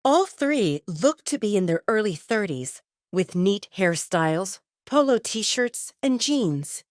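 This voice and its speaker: A woman's voice: medium pitch, sing-song, slow, no room sound, studio quality. A woman reading scripted romance fiction as the narrator of an audio drama.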